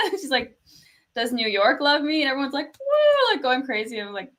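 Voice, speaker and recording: A young woman from Canada with a fairly quiet speaking voice, telling a story in casual chat with lots of "like" and laughter. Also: high-pitched, sing-song, normal speed. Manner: storytelling, laughs often, says "like" a lot.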